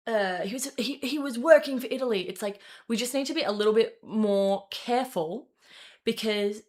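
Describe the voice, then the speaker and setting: A young woman talks through the news from her desk in a loose, off-the-cuff commentary voice, with a middle-pitched tone that sits right where most women's voices do. She rambles, swears and corrects herself mid-sentence as she goes. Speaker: political commentator and host. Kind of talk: casual opinion commentary to camera.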